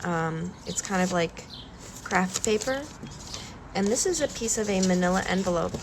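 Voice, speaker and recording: A woman vlogger with a cold and a slightly stuffy nose, talking softly and hesitantly with many pauses during a calm art demonstration. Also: fairly low pitch, noisy background. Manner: slow, soft-spoken, hesitant with many ums. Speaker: collage artist vlogger.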